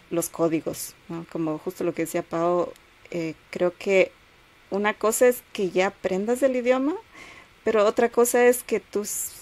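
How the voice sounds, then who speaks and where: A woman's voice: fairly low pitch, a little mellow. A woman from Latin America talking as a podcast guest, thinking aloud hesitantly with many fillers. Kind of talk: casual podcast chat.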